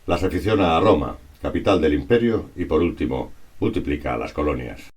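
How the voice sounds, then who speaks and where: A man from reads a scripted historical narration in a formal, measured, bookish manner. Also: rumbling low, expressive, husky.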